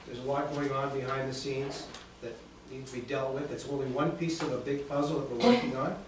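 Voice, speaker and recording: A man chairing a council meeting, briskly reading motions and calling votes in a steady procedural cadence. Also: mid-range voice. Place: council chambers. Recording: echoey chamber, distant mic, background noise.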